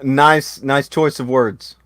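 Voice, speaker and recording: A man hosting a casual online talk show, joking and swearing, with bursts of loud excitement. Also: mid-range voice, slow, no room sound, studio quality. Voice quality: lively, swings into loud bursts. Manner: profane, jokey, talks with laughs. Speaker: podcast host. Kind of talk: casual chat.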